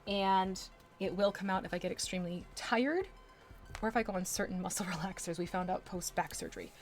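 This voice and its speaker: A woman's voice: on the low side, fairly quick, soft background music. Chatty woman rambling while she paints. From Texas, USA. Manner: chatty, rambling, with asides and laughs. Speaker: livestreamer painting miniatures. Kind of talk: casual chat while working.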